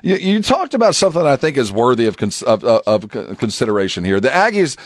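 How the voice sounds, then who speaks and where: Male sports radio host, animated intonation, middle pitch, builds long questions, close dry studio. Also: fast, dry recording. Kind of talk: sports talk interviewing.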